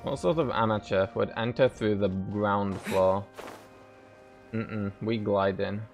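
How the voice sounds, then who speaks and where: A man's voice: lowish voice, slow, soft background music. A man giving casual playthrough commentary as a gaming streamer, with wry, joking asides.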